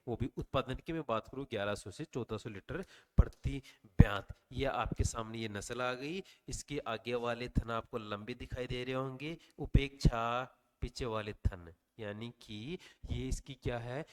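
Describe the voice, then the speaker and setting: A man's voice: medium pitch, unhurried, darkish tone. Man quizzing through a revision lecture. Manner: quizzing listeners with repeated questions and answers. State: slightly sore throat. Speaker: exam coaching teacher.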